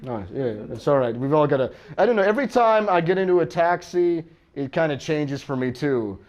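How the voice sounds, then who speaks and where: An American man doing stand-up crowd work, bantering in a halting, self-interrupting way and often chuckling. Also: on the high side, animated, a little mellow, muffled recording. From United States. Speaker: stand-up comedian.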